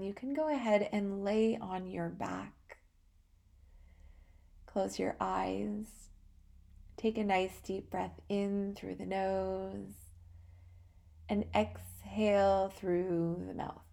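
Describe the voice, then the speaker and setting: Slow, mellow woman guiding meditation. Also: mid-range voice, creaky. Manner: calm, soothing, with long pauses between short phrases. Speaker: guided meditation podcast host. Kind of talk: guided relaxation meditation.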